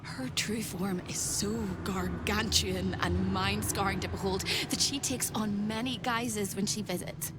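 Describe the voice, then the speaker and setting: A woman's voice: medium pitch, steady tone, measured pace, few pauses, heavy background noise, natural voice. A woman voicing an unhinged, whimsical captive apparition in a video game, with a manic, sing-song, theatrical manner. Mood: conspiratorial. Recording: loud game music behind.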